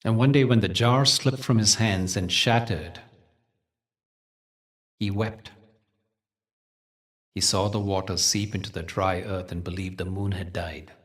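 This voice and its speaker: A man leading a guided meditation as a teacher, telling spiritual stories in a gentle, measured, soothing way with deliberate pauses. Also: low-pitched, fairly even intonation, recorded from a distance.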